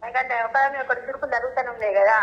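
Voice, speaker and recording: A woman's voice: sits high, fairly even intonation, rapid-fire, fairly bright tone, echoey room. A middle-aged woman with a Telangana accent talking casually as a mother on a phone call. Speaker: mother receiving a prank call. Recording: noisy phone call on speaker.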